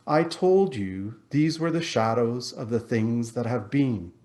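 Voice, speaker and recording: A man voicing a solemn, cool ghost in a storybook reading, speaking in a measured, deliberate way. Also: mid-range voice, darkish tone, natural voice. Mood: stern.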